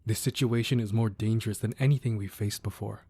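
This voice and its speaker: Romantic ASMR drama: male actor as dangerous mob boss husband, dark warm low voice, husky, intimate, earnest, protective and tender. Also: fairly even intonation, no room sound. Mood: worried, tender. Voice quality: soft, husky, breathy. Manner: earnest, measured, firm yet affectionate. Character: protective, ruthless crime boss husband, tender with his wife.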